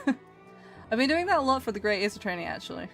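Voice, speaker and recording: A woman's voice: fairly high pitch, sing-song, brisk, gravelly, fairly bright tone. Giggly woman streamer with Canadian-leaning accent, music behind. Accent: mixed Canadian-leaning North American. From Edinburgh, Scotland. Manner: giggly, chatty. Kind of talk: casual livestream chat. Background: background music.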